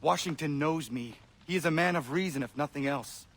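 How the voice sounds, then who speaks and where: A man playing an earnest, troubled young Native warrior in a video game, speaking in formal, deliberate phrases. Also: on the high side, a bit bright. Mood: confused, troubled. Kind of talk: dramatic video game acting. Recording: music behind the voice.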